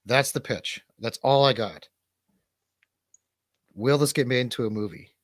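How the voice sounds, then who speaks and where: A man's voice: mid-range voice, unhurried, no echo, studio quality. A man hosting a livestream radio show with casual, comedic chat, rambling and swearing irreverently.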